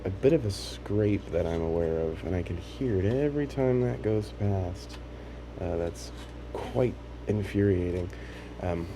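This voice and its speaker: A man giving an unscripted, casual hands-on demonstration, explaining things in a tentative, halting way. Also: low-pitched, background noise. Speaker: hobbyist demonstrating a tape machine repair.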